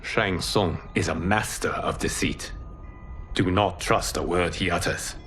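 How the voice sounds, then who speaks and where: A man playing a calm, wise immortal lord who protects Earth, speaking formally and gravely in a video game drama. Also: low-pitched, measured pace, a little hiss or hum. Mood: stern, instructing.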